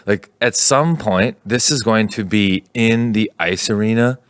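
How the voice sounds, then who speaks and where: Low-voiced man telling stories on a podcast. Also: a little slow, dry recording. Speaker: podcast host. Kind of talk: casual sports podcast banter. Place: podcast studio.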